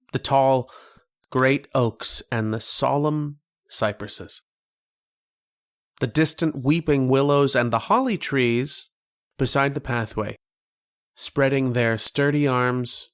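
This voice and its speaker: A man playing a haunted, wistful narrator of a recurring dream in a radio drama, speaking poetically with long pauses. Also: medium pitch, smooth, dry recording, clean studio sound. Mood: wistful, brooding. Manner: slow, poetic, brooding delivery with long pauses. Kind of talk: dramatic first-person radio horror narration.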